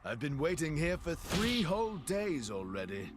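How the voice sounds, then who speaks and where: A man's voice: fairly high pitch, very animated, moderate pace, music in the background. An actor playing a cocky, theatrical rival swordsman prince in a video game, pompous and showy as he taunts. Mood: cocky challenge. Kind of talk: video game cutscene acting.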